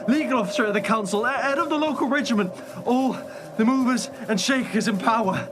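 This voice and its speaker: A man's voice: very high-pitched, a little fast, noisy background. An actor playing an anxious, earnest man giving urgent warnings in a radio play, with music behind him. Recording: loud music behind the dialogue.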